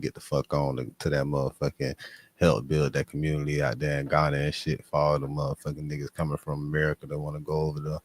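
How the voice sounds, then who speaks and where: A man speaks in a very deep, bassy voice with almost no room sound. He hosts a reaction show, talking casually with heavy slang and swearing, repeating his points for emphasis. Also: dry recording. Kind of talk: casual profane reaction commentary.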